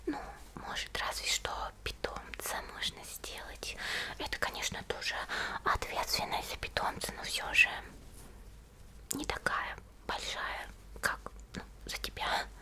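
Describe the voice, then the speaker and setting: A woman voicing a gentle young monster schoolgirl in a soft, breathy, slow ASMR roleplay whisper, close to the microphone. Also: close to an everyday voice. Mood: caring, musing. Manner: slow, soothing, hesitant musing with short pauses.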